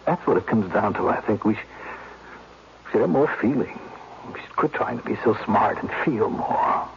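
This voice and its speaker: Radio drama casting: an earnest, reflective fellow, lowish voice, halting speech, dull old broadcast sound. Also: male voice, lively intonation, creaky, a little hiss or hum. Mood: compassionate, moved. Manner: halting, reflective, repeating himself for emphasis. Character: earnest, compassionate man musing sadly on hard life.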